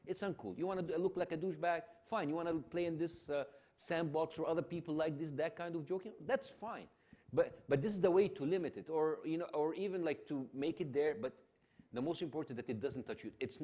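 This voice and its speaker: A man with an Egyptian accent hosting an on-stage public discussion, talking with many restarts and an animated delivery. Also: on the high side, fast. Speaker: panel host.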